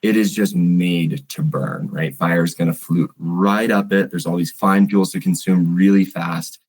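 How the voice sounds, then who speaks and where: Deep, husky male researcher. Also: fairly even intonation, unhurried, darkish tone. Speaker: forest fire researcher being interviewed. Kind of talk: informal expert interview.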